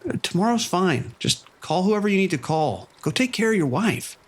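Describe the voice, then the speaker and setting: An actor playing a weary, level-headed police sergeant who talks calmly and informally, with some hesitant restarts. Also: male voice, high-pitched, moderate pace. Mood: urgent. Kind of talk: audio drama acting.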